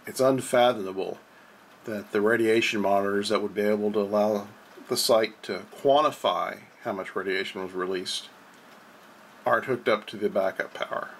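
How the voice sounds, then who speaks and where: An American man explains things informally in a creaky, fry-laden voice. His delivery is hesitant, broken by long uhs, like a blogger talking through material on a screen. A faint noise sits behind his voice. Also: lowish voice, steady tone, fairly bright tone, rough recording. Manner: halting, hesitant delivery with frequent drawn-out uhs. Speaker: blog host. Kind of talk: informal explanatory commentary over on-screen reports.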